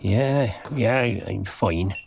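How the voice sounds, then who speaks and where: Gravelly older Cork man, mild. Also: on the low side, slow pace, a little mellow. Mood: hesitant, uneasy. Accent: Irish, County Cork. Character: retired older Irish man, mild, dignified, unassuming. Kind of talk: naturalistic audio drama acting.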